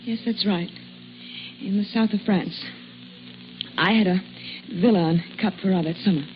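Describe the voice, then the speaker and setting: An actress playing a guarded, bewildered, worldly woman accused of murder in a radio drama, speaking in a hesitant, refined and measured way. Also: mid-range voice, soft background music, close to an everyday voice. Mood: wistful, wry. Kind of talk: 1950s radio drama acting. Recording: muffled, noisy old radio recording.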